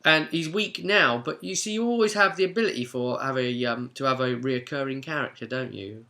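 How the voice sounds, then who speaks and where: A man with a British accent hosting a casual spoken recap of a tabletop role-play session as game master, telling it like a chatty, animated storyteller. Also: medium pitch, a little fast.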